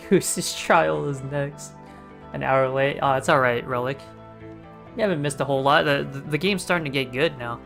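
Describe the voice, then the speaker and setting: A woman's voice: rumbling low, unhurried, creaky, pauses often, a bit bright, faint music behind. A woman reacting casually to a game on a livestream, jokey and playful. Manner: jokey, playful, laughs often. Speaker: game streamer.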